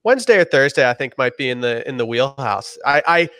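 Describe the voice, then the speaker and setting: A man's voice: mid-range voice, expressive, fairly quick, bright, forceful tone, dry recording. A young man hosting a casual podcast over a video call from his home, laid-back and prone to stammered restarts. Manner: laid-back, repeats words when starting a thought. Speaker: podcast host. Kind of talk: casual chat. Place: home room, indoors.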